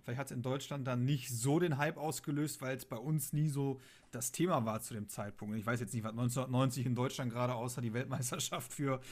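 A man's voice: medium pitch, steady tone, a little fast, few pauses. A man chatting casually as a podcast co-host, musing aloud in colloquial speech. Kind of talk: casual chat.

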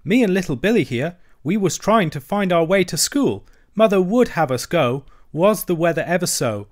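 A man voicing a high-pitched, humble young rustic hedgehog. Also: animated, moderate pace. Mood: respectful, earnest. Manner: deferential, rustic ungrammatical speech.

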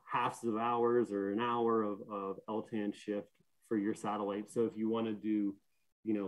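A man's voice: medium pitch, a little mellow, poor audio. A young man giving a technical conference talk from home over a video call, explaining earnestly with many fillers and restarts. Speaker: conference speaker, systems engineer. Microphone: webcam or laptop mic on a video call.

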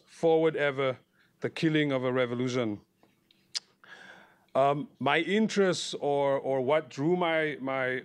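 A man from South Africa, a faculty host, introduces a film screening to an audience, projecting his voice because the mic is not working and speaking loosely off the cuff with restarts. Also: mid-range voice. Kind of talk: casual talk. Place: large lecture hall. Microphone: far room mic. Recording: echoey lecture hall, far from mic, noisy.

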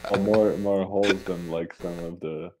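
A man from Switzerland co-hosting a podcast in casual, unscripted chat, halting as he searches for words. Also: sits low, fairly even intonation, background noise. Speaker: podcast co-host.

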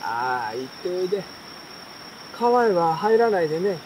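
A gentle, caring storybook mother , read by a man. The delivery is tender and reassuring, with outdoor insect noise around it. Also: sits high, sing-song, moderate pace, poor audio.

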